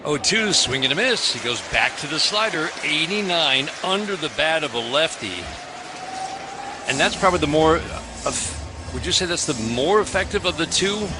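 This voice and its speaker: A man's voice: on the high side, lively intonation, moderate pace. American baseball play-by-play man, noisy ballpark. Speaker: play-by-play radio announcer. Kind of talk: live baseball radio commentary. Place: outdoor ballpark broadcast booth. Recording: outdoor stadium ambience, clear background noise. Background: stadium ambience noise.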